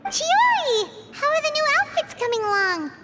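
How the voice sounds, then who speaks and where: A woman voicing a tiny, excitable female companion creature in a video game, who talks about herself in the third person. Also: very high-pitched, normal speed, very noisy. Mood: wary. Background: game music.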